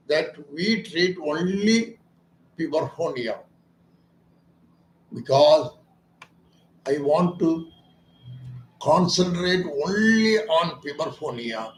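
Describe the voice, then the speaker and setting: A man's voice: on the high side, lively intonation, slow pace, pauses often, a little hiss or hum. A man, an ENT doctor explaining his clinic's treatment in an earnest, emphatic way that often repeats its points as questions. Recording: echoey room.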